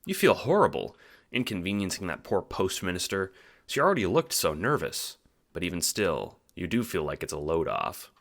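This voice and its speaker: A man reading second-person audio drama narration in an animated storytelling manner, with casual swearing. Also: deep voice, normal speed.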